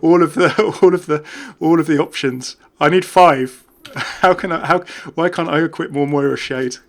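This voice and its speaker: A man's voice: fairly high pitch, fairly even intonation, moderate pace. A man giving casual, jokey commentary as a gaming video host. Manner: chatty, jokey.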